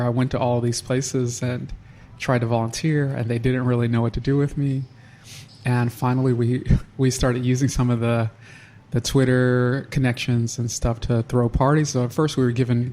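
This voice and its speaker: A man guest on a podcast, talking through how he started a charity in a halting, unhurried way with frequent restarts. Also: mid-range voice, a little mellow, slight background noise. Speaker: nonprofit founder, guest. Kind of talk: casual interview.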